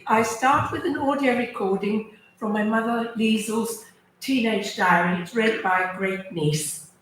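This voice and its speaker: A woman with a croaky voice giving a measured speech as guest speaker at a memorial event. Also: fairly high pitch. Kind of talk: public speech. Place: arts centre hall. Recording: echoey hall with loud noise.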